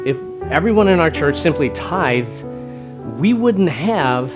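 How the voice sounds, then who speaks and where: A pastor preaching at a slow, deliberate pace, setting out numbers step by step in a plain-spoken way. Guitar and piano play clearly underneath his voice. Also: fairly high pitch, animated. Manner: measured, plain-spoken, working through numbers aloud. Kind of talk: stewardship sermon. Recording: music bed underneath.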